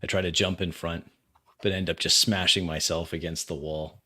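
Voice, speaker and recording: A man playing a tabletop game, describing his character's actions in hesitant, measured phrases. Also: low voice, fairly even intonation, bright, forceful tone. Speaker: tabletop roleplay player.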